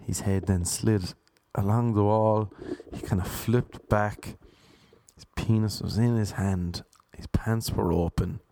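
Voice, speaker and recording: A young man with a Cork Irish accent, telling stories in a deadpan, self-mocking, rambling way. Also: on the low side, slow pace, slight background noise. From Ireland. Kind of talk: casual storytelling monologue.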